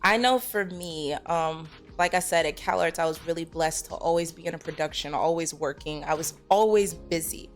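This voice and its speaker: Candid young woman, lower-pitched voice. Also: moderate pace, few pauses, faint music behind. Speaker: guest actress being interviewed. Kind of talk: casual interview chat.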